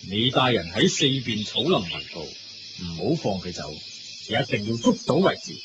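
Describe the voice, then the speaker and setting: A man's voice: on the low side, very animated, normal speed. A man with a Hong Kong Cantonese accent acting a commanding, sly king out hunting in a radio drama. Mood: hushed, watchful. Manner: imperious, giving orders. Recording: dull lo-fi radio recording. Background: music.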